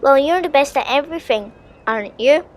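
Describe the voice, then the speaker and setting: A man's voice: extremely high pitch, expressive, fairly quick, pitched above the performer's own voice. A man voicing a wry, gloomy young Viking boy in a storybook audio play, talking with dry sarcasm. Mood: mock flattering.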